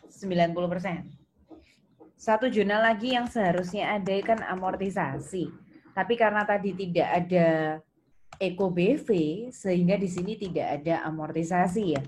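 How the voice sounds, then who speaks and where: A woman's voice: on the low side, darkish tone. A woman lecturing step by step in an instructive way, putting questions to students as she goes. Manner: instructive, questions students and repeats their answers. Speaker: accounting lecturer. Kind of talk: interactive online lesson, explaining step by step. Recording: noisy, with keyboard typing and clicks.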